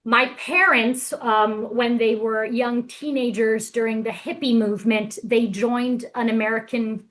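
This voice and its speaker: A woman's voice: a little high, fairly even intonation, a little slow, fairly bright tone, rough recording. A woman from Brazil giving a casual, chatty presentation as a guest speaker, talking with enthusiasm.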